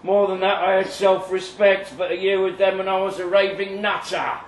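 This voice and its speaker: A man's voice: sits high, little pitch movement, moderate pace. A man playing a bitter, indignant ex-cult survivor in a live dramatic story reading. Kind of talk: dramatic monologue read live. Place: live reading in a pub venue. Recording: distant echoey live room, faint noise.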